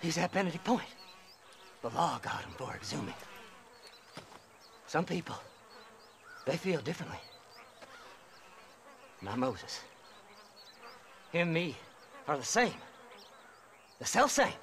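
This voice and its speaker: A man's voice: on the high side, very animated, slow pace, gravelly, pauses often, a bit bright, slight background noise, exaggerated voice. An actor playing a half-insane, unwashed male grave robber in a video game, with a cackling, rambling, unhinged manner. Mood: brooding. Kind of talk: video game cutscene acting.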